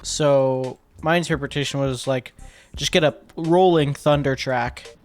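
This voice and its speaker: A man's voice: medium pitch, steady tone, measured pace. A man giving a casual, step-by-step commentary as a video editor breaking down his sound design work. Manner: informal, explains step by step. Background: music tracks and sound effects being demonstrated.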